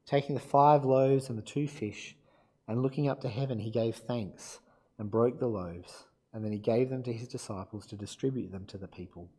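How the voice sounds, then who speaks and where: Male preacher, croaky from a cold; warm low tone, casual delivery with ums. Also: moderate pace, rough recording. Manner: self-deprecating, relaxed, with fillers. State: croaky from illness. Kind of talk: sermon with Bible reading.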